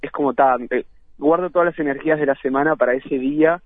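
A man's voice: a little high, steady tone, fast talker, no room sound. A man from Uruguay with a Uruguayan Spanish accent, a musician and producer talking casually as a radio guest. He laughs easily and restarts his phrases often. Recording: tinny phone line.

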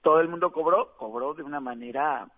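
A man from Mexico with a Mexican accent, a film director giving a relaxed, chatty radio interview with frequent fillers. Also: fairly high pitch, a little fast. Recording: tinny dry phone line.

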